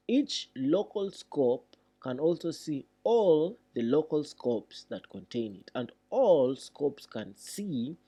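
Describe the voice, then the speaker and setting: A man giving a read-along programming tutorial, reading deliberately and thinking aloud as he explains. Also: on the low side, mellow, slightly rough.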